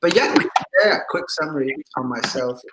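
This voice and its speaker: A young man from Pakistan talks easily and chattily at an ordinary middle pitch. His recording carries a clear layer of background noise. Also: moderate pace. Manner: relaxed, chatty, laughs easily. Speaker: musician guest. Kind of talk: casual video interview.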